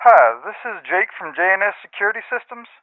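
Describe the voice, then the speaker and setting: A man's voice: high-pitched, big pitch swings, a little fast, no echo. A man voicing an easygoing, apologetic security repairman leaving a casual voicemail over a telephone in a video game. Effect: telephone filter. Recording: tinny narrow telephone voicemail line.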